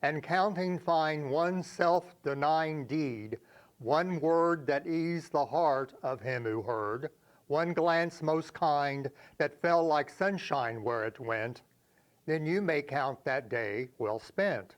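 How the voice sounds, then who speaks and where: A man reciting poems for a reader's theater in a measured, expressive storytelling way. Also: on the high side. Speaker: poetry reader.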